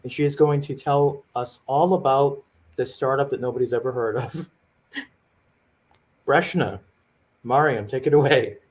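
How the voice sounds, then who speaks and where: A man hosting a live online pitch event, chatty and teasing, introducing speakers with jokes. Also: a little slow, long pauses, a little mellow. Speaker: event host. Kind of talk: casual live-stream hosting.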